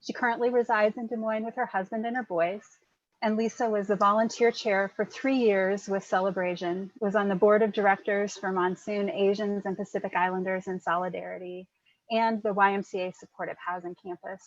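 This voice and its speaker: A woman's voice: mid-range voice, steady tone, a little fast, dry recording. Woman moderator introducing a panel, upbeat, dead dry sound, dull lo-fi audio. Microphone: video call.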